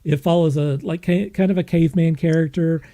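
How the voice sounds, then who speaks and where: A man hosting a podcast, reviewing things in an enthusiastic, casual way, emphatic and effusive with halting repetition. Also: a little high, steady tone, mellow. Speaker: podcast host.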